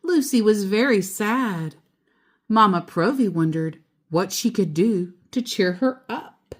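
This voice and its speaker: A woman storyteller reading a children's picture book aloud, deliberately and with expressive emphasis on key words. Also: mid-range voice.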